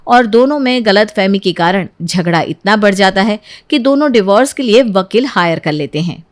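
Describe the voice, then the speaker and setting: Female storyteller, medium pitch, scripted narration. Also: lively intonation, moderate pace.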